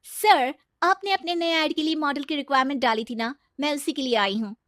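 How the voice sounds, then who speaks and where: A woman voicing a coy, shrewd young aspiring model in an animated story, with a teasing manner. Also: high-pitched, a little fast, no echo, clean studio sound, voice pushed up. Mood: coy, polite.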